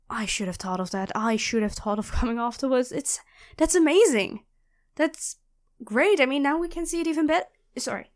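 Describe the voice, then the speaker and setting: A woman playing a nerdy, chatty young student in an audio roleplay, expressive and flustered, often apologizing and correcting herself. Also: high voice. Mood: amazed, eager. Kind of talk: audio roleplay acting addressed to the listener.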